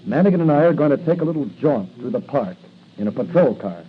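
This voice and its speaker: A man's voice: medium pitch, big pitch swings, fast, lightly acted. An American man playing a brave, determined young rookie patrolman in 1940s radio drama style. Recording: muffled, noisy 1940s radio recording.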